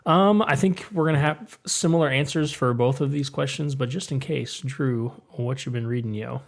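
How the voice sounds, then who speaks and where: A man's voice: mid-range voice, moderate pace, vocal fry. A young adult man co-hosting a comic book podcast, chatty and upbeat, laughing often in casual talk. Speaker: podcast co-host. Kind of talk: casual chat.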